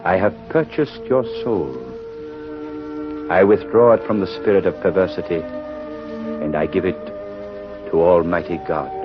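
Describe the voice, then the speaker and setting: An actor playing a solemn old bishop, speaking in measured tones in a 1930s radio drama. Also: male voice, sits low. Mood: solemn, forgiving. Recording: muffled old radio broadcast with music.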